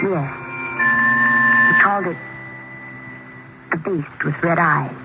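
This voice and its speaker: An anxious young woman confiding dark secrets in a vintage radio chiller. Her delivery is earnest and hesitant, and the sound is muffled, with music behind her. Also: low voice, slow pace. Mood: haunted. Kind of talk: old-time radio horror acting. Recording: muffled old radio recording.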